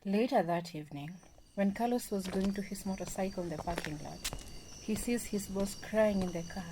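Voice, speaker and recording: A woman's voice: medium pitch, normal speed, background noise. A woman narrating a scripted drama story.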